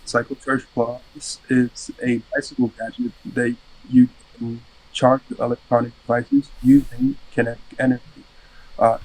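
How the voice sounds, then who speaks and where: A man's voice: medium pitch, darkish tone, breathy edge, rough recording. A young man from Fiji, a student explaining his team's invention in an interview, earnest and explanatory. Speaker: student team member. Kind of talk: interview answers.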